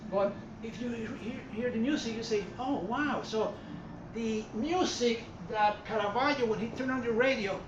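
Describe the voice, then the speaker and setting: A man with a Puerto Rican Spanish accent lectures on art in a gallery hall, wandering through his sentences, stopping and restarting, leaning on plenty of "uh" and "um". He sits at a middling pitch and is picked up from well back in a reverberant room. The sound is dull and muffled, with steady hiss and murmur underneath. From Puerto Rico. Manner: rambling, self-correcting, with many fillers. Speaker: art history presenter. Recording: far-off echoey room mic, dull and noisy.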